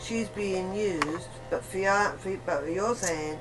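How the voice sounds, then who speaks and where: A woman giving a casual, rambling account in a chat, halting and often repeating herself. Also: medium pitch, over loud music. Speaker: interview guest. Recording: noisy amateur recording of a chat.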